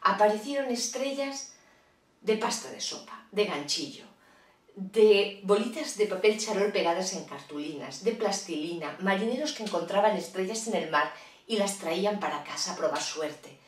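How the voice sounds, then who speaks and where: A woman telling a fairy tale to children, in a lively, expressive way with chatty asides. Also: mid-range voice, normal speed, recorded from a distance. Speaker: storyteller. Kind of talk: children's storytelling. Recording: roomy echo, voice off-mic.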